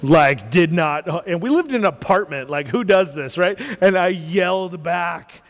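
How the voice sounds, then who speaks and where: A man from the United States with a loud, carrying voice, talking earnestly in a casual faith talk and repeating phrases for emphasis. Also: sits high, lively intonation, unhurried, long reverb tail.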